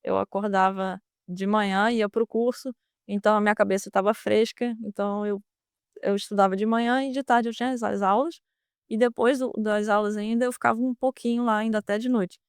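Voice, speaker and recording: A young woman with a Brazilian Portuguese accent answers interview questions in a smooth, clear voice. She talks earnestly, with many fillers and restarts. The sound is dry and close. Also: mid-range voice, fairly even intonation, a little fast, no room sound. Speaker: guest, former student now a merchant navy officer.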